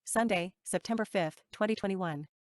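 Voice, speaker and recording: A woman narrates a scripted news commentary. Her pitch sits in the middle range. The sound is dry, with no audible room echo. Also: normal speed.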